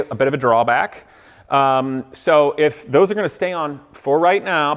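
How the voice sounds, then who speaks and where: A man hosting a car-building video, explaining the work casually and hands-on, with rambling colloquial asides. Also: a little high. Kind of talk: casual explanatory talk to camera and camera operator. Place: car workshop. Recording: echoey hall-like workshop reverb.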